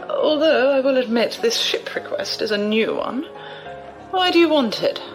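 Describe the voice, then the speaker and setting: A woman playing a shrewd, imperious old noblewoman in an audio drama, measured, condescending and amused. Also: fairly high pitch, low-quality recording.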